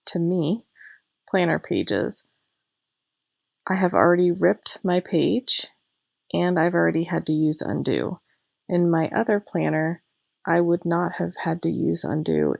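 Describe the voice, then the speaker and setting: A woman in her thirties, hoarse from losing her voice, chatting through a craft video in a casual voice-over and explaining each step. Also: on the low side, a little mellow, no room sound, clean studio sound. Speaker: planner vlogger.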